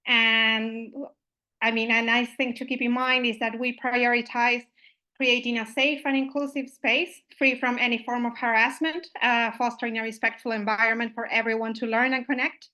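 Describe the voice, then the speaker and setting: A woman's voice: fairly high pitch, little pitch movement, moderate pace, pushed and bright, rough recording. A woman from Uruguay, opening an online meetup as its host, friendly and full of "uh" and "I mean" fillers, over a video call microphone.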